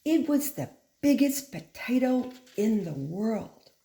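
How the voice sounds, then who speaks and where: A woman reading a picture book aloud like a teacher, with simple, instructive phrasing and expressive stress on key words. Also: on the high side, slow pace, gravelly. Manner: simple, instructive phrasing aimed at young children. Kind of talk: animated children's picture book read-aloud. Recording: echoey room.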